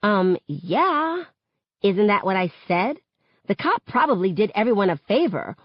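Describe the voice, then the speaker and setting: A woman's voice: mid-range voice, big pitch swings, a little slow, no echo, highs cut off. A woman voicing a defiant, callous teenage mean girl in an audiobook, with an indignant, dismissive delivery. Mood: callous, scornful.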